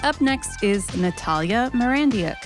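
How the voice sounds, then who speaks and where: A woman's voice: fairly high pitch, lively intonation, a little slow, faint music behind. A woman host introducing a guest in a friendly, explanatory scripted segment. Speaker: podcast host.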